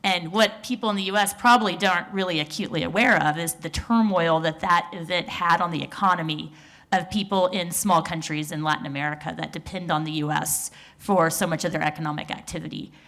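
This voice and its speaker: A woman's voice: on the low side, steady tone, brisk, a bit bright. Earnest woman on a panel. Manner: earnest, reflective storytelling with fillers. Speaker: researcher on a panel. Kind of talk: conference panel talk. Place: conference stage.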